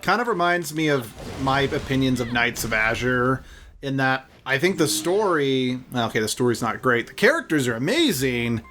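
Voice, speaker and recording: A woman's voice: rumbling low, sing-song, normal speed, noisy background. A woman talking casually as a co-host in a video game let's play. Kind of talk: casual gaming commentary. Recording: game music behind.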